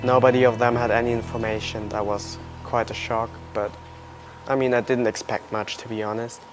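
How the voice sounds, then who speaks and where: Young German man, even voice over music. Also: medium pitch, moderate pace. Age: early 20s. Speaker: interviewee, adoptee searching for birth family. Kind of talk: personal storytelling in an interview. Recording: loud music under the voice.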